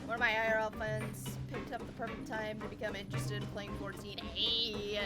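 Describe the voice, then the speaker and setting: A woman's voice: mid-range voice, level tone, brisk. A woman live-streaming a game, chatting casually in a rambling, conversational way. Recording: loud game music behind. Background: video game music.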